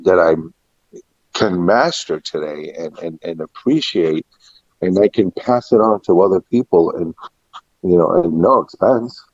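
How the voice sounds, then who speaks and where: A man's voice: deep voice, unhurried, a little mellow. A middle-aged man telling personal stories in a casual interview, reflective and anecdotal, often quoting past conversations. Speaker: restaurant and catering veteran being interviewed. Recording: muffled, echoey room recording.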